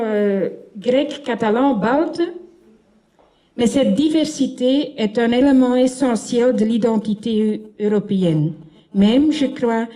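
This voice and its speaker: Flemish woman reading a conference speech from her notes, slow and halting, somewhat high, mellow voice. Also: rough recording. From Flanders, Belgium. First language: Dutch. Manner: halting, with frequent self-corrections and restarts. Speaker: former politician giving a conference speech. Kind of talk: prepared speech read from paper. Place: conference in Paris.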